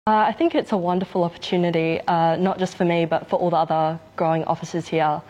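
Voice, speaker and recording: Friendly young Australian woman, lowish voice. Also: fast talker, highs cut off. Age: young adult. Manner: friendly, relaxed, light laughs. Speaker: naval cadet.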